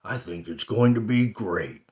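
A man voicing a big, sleepy, friendly bear who talks low and slow, in a storybook reading. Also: a little mellow, muffled recording, lightly acted.

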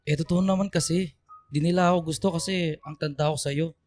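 A man's voice: on the high side, steady tone, brisk, a little mellow, slight background noise, lightly acted. A man playing an irritable, controlling older boyfriend in a Filipino radio drama, curt, nagging and defensive. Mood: sulky, accusing. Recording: music bed under the voice.

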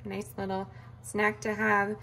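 A woman chatting casually as she walks through her meal prep in a home kitchen, relaxed and full of fillers. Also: mid-range voice, measured pace, creaky, a little hiss or hum. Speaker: vlogger cooking meal prep. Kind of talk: casual cooking narration.

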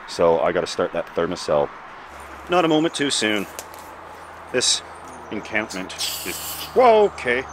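A man in his forties talks through a camping setup in a damp forest, chatty and self-deprecating. His voice sits on the low side. Background noise is clearly audible in the outdoor recording. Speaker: camping vlogger. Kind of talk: casual outdoor vlog narration. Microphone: camera mic, handheld close and filmed from a distance.

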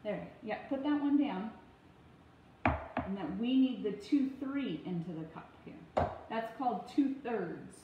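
A woman in her thirties speaks in a fairly high voice. She gives casual, step-by-step instructions, patient but firm. The room around her is very echoey, with faint noise behind her, as if recorded on a phone in a home kitchen. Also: lively intonation, slow pace, narrow, tinny audio. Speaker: parent giving a cooking demonstration. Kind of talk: casual instructional talk to camera and child. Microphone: phone propped on counter, about arm's length.

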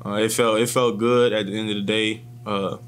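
Young guy at a post-game presser, low flat calm voice, lots of restarts, table mics. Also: smooth. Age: 20s. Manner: calm and measured, with frequent restarts. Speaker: college football player. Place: press room in front of a sponsor backdrop. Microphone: table microphones in front of him.

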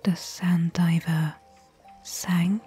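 A woman playing a caring, worried fantasy expedition companion in a soft, breathy, intimate ASMR whisper. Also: fairly low pitch, flat delivery, faint music behind, exaggerated voice. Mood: curious, pondering. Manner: intimate, gentle, slow and hushed. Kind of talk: soft-spoken ASMR roleplay.

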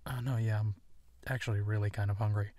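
A man playing a gentle, awkward, self-deprecating werewolf friend in an intimate ASMR audio drama, speaking softly and haltingly. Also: lowish voice, steady tone, no echo, close to an everyday voice. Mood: grateful, relaxed. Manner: hesitant, soft-spoken, halting, often slipping into whispers.

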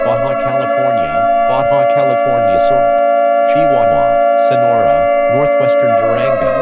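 An emergency alert announcer reading a scripted warning. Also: medium pitch.

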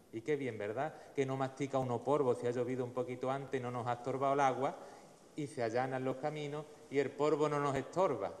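A man in his forties, a priest, reading the Gospel and preaching at Mass in a measured, solemn way into a lectern mic. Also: medium pitch. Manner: measured, solemn liturgical delivery. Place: large church in front of the altar. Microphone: stand mic at the lectern. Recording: long church reverb.

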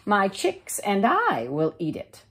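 A woman voicing a busy, firm mother hen from a storybook, with expressive, drawn-out delivery. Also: medium pitch, slightly rough, heavily acted. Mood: indignant.

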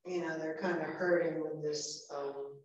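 A woman's voice: low-pitched, steady tone, unhurried. A woman with a Vermont accent on a town board, practical and asking pointed questions. Her voice comes from far off in an echoey meeting room, with a dull lo-fi sound. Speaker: board member. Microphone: far room camera.

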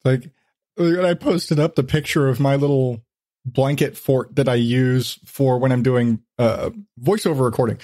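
A man's voice: medium pitch, fairly even intonation, moderate pace, mellow, no echo, clean studio sound. A man hosting a casual podcast chat, rambling along and swearing freely. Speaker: podcast host.

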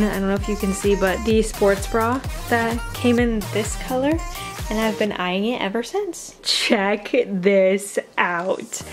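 A woman in her twenties talks casually to her camera as she vlogs at home. Her manner is enthusiastic and full of slang, with a mid-pitched voice for a woman. Music plays clearly behind her. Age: 20s. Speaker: vlogger. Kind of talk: casual vlog chat to camera. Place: indoors at home, kitchen, bedroom and living room.

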